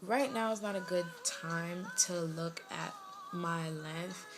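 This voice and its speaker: A woman's voice: on the low side, slow pace, noisy background. A woman in her twenties with a slight West Indian-tinged New York accent, chatting casually to camera from her bedroom, rambling with lots of fillers and self-corrections. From Brooklyn, New York. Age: 20s. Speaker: vlogger. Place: bedroom at home.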